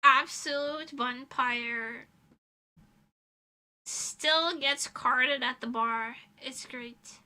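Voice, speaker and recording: A woman's voice: sits high, extremely slow, sharp tone. A young woman livestreamer chatting casually in an informal, self-deprecating, rambling way.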